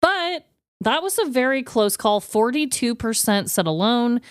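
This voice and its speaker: An expressive woman chats casually as a podcast co-host, with pitch that moves up and down in a lively way. Her voice is clean and smooth, recorded dry and close. She is playful, emphatic and loose with swearing. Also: a little slow, no echo. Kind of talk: casual bantering chat.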